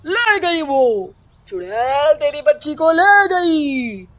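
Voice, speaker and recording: A woman's voice: sits high, very animated, no echo, full character voice. A woman voicing a fake village holy man in a dramatic manner for a cartoon story. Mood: ominous. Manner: slow, dramatic, menacing.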